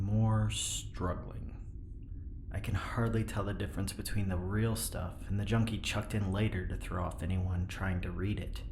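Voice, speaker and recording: A man plays a tired, pessimistic engineer in an audio drama. His voice is very deep and his delivery is dry and sardonic, often dropping into low mutters. The room has a noticeable echo. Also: darkish tone, slight background noise. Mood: weary. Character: weary pessimistic male engineer.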